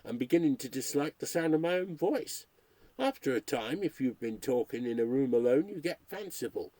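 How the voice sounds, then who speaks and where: A man's voice: medium pitch, expressive, normal speed, mellow, no echo, close to an everyday voice. A man reading aloud and voicing a male radio announcer alone in a haunted house, rambling nervously to his listeners as he cracks up. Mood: nervous chatter. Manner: rambling, nervy chatter addressed to listeners. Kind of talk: read-aloud ghost story.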